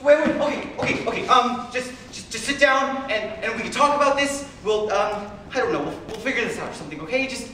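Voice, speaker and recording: An earnest, anxious man pleads with his partner in a dramatic monologue, performed by a stage actor. He stammers and restarts his phrases in a high voice. The sound is very reverberant and distant from the microphone, with faint noise. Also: a little fast, few pauses, sharp tone. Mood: flustered, pleading. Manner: stammering, hesitant, repeating words. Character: anxious, pleading, passionate man in a troubled relationship. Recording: very echoey room, far from mic, faint noise.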